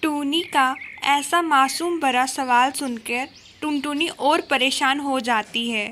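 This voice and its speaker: A woman's voice: high voice, slow pace, bright, forceful tone, slight background noise. A woman narrating a scripted children's story.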